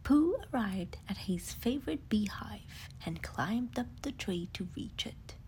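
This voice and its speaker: A woman telling a bedtime story in a hushed, soothing whisper. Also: a little high, vocal fry, slight background noise, low-quality recording.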